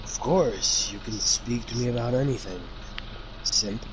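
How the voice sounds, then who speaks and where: A young amateur doing a low, mock-serious anime man. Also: a little slow, a crowd in the background, natural voice. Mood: mock tender. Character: deep-voiced stoic grown man, anime fan-fiction hero. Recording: noisy home recording.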